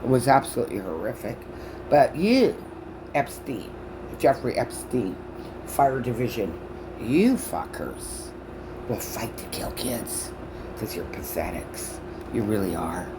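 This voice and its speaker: A woman in her sixties from Canada ranting loudly and profanely to camera on her own channel, her speech halting from false teeth. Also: rumbling low, lively intonation, noisy background. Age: 60s. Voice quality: loud voice. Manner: shouting, profane, accusatory. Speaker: vlogger. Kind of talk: angry rant to camera.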